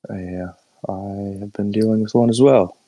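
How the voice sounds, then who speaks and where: A man's voice: on the low side, steady tone, normal speed, warm tone, no echo. A man chatting casually as a podcast co-host, his voice worn from a recent cold, laid-back and laughing. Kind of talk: casual chat.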